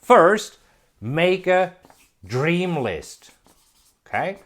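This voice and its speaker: A man in his forties giving an emphatic motivational talk, asking rhetorical questions and building excitement. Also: sits high, drawn-out words. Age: 40s. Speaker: self-help video presenter.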